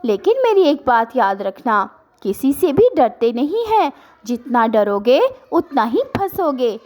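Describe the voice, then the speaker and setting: A woman's voice: fairly high pitch, very animated, unhurried, darkish tone. A woman voicing a brave, mischievous little baby duck boy in a children's cartoon story. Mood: brave, reassuring. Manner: bold, mischievous, swaggering.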